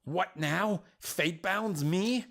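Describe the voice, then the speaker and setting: A man's voice: high-pitched, very slow, creaky, a bit bright, full character voice. A man voicing a troubled, defiant warrior woman in a story, earnest and questioning in an agitated manner. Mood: defiant, frustrated. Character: Arya, troubled defiant young female warrior burdened by war.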